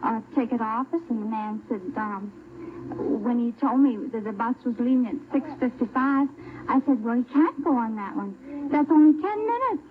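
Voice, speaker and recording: A woman's voice: a little high, expressive, moderate pace, narrow, tinny audio. A young woman from Canada answering questions as a giggly contestant on an old-time radio show, heard on a thin, noisy vintage broadcast. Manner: giggly, retells with quoted dialogue. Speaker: stunt contestant.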